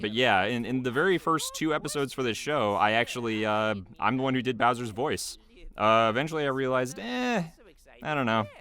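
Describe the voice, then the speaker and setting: A man's voice: mid-range voice, a bit bright. A man giving casual behind-the-scenes commentary as a video creator, rambling loosely in a small homemade booth.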